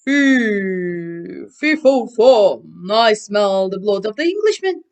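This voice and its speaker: Storybook giant, acted by a woman: big, furious, man-eating, loud chanting declamation, unhurried menace. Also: mid-range voice, very animated. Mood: menacing, hungry.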